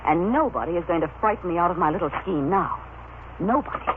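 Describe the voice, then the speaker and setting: A woman playing a cold, calculating, sharp-tongued society wife in an old-time radio soap opera. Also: on the low side, animated, quick pace, close to an everyday voice. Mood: resolute, irritated. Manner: haughty, sharp-tongued, imperious. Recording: scratchy, narrow-band old radio recording.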